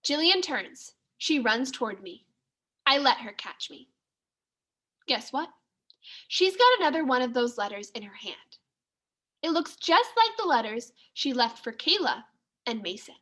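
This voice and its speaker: Female storybook reader, teacherly and expressive; unhurried, very animated delivery. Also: sits high. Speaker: second grade teacher reading aloud. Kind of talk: children's story read-aloud.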